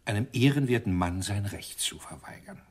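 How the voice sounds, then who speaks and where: Gruff inspector played by a man, low pitch, very husky, animated swings, sardonic, radio crime play. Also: normal speed, a little hiss or hum, close to an everyday voice. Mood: sardonic, menacing. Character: gruff, sarcastic male police inspector with short patience. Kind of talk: German radio drama acting.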